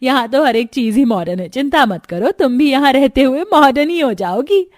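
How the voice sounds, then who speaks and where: A woman's voice: high voice, expressive, normal speed, no echo. A woman voicing a middle-aged, bossy but affable mother-in-law in a cartoon.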